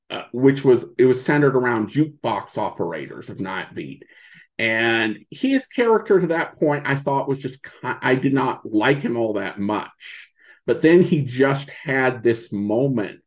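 Halting man chatting, slightly dull audio. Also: mid-range voice, a little mellow. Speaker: podcast guest. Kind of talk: casual interview chat about old radio shows.

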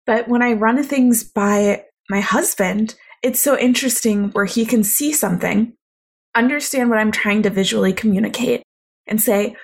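A young woman with a naturally quiet voice, talking reflectively in a solo podcast monologue. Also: fairly high pitch, vocal fry, husky. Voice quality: quiet, soft-spoken voice. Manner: reflective, conversational, thoughtful. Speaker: podcast host.

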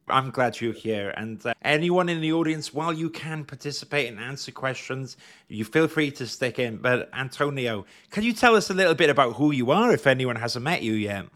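A man's voice: mid-range voice, lively intonation, moderate pace, fairly bright tone. A man in his thirties hosting a live stream interview, chatty and joking with his audience. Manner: chatty, jokey, upbeat.

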